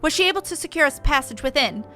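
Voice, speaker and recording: A woman plays a proud, indignant fantasy-game warrior who declaims in archaic, formal speech. The voice is pitched high and pushed out forcefully, with faint music behind it. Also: animated, quick pace. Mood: grim, impatient.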